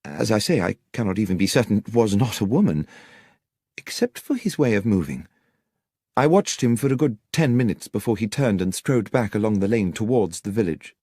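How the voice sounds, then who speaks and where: An earnest young country vicar, acted out by a male narrator, anxious and unfailingly courteous. His speech is articulate and wordy, with lively rises and falls, in a close, dry audiobook sound. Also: on the low side, normal speed, slightly rough, dry recording. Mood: earnest, recounting. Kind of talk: audiobook character dialogue.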